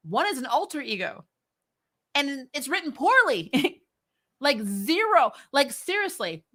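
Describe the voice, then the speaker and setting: A woman's voice: fairly high pitch, pushed and bright. A woman hosting a recap livestream in casual chat, blunt and opinionated, with an exasperated, giggly way of talking. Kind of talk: casual chat, reading and answering viewer comments.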